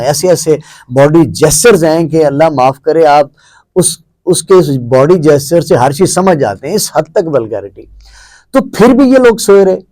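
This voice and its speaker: A Pakistani man works as an interviewer, building long questions out of repeated, emphatic points. His tone is warm and dark, with English words mixed in. The sound is dry, with very little room around it. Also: fairly high pitch, no echo.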